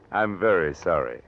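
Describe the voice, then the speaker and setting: A man's voice: low-pitched, full character voice. An actor playing a menacing man from a woman's secret past, insinuating and mock-polite, in 1940s radio drama style. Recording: muffled vintage radio recording.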